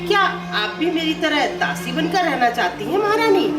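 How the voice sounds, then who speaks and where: A woman's voice: extremely high pitch, sing-song, moderate pace, full character voice. A woman playing a scheming maidservant who goads her queen in an urgent, insinuating way in a theatrical mythological drama. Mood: goading. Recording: echoey, distant playback with loud music.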